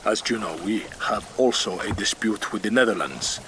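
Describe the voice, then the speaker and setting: An actor playing a courtly Spanish ambassador with a Spanish accent, insinuating and deliberate, in a period radio drama. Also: male voice, lowish voice, lightly acted. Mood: pressing. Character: smooth, persuasive Spanish duke and ambassador. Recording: noisy water ambience behind.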